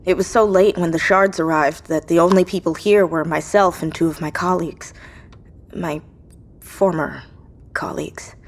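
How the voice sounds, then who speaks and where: This is an isolated woman survivor in an audio drama. Her voice is on the low side, and she speaks earnestly and hesitantly, anxious to understand. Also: moderate pace. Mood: somber, halting.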